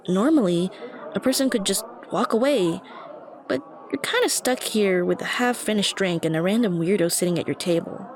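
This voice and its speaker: A woman's voice: mid-range voice, a little mellow, slight background noise, close to an everyday voice. A woman playing a shy, anxious young stranger who rambles hesitantly and self-consciously to the listener in an ASMR roleplay. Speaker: roleplay voice actress. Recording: busy cafe chatter behind voice. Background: coffee shop crowd murmur.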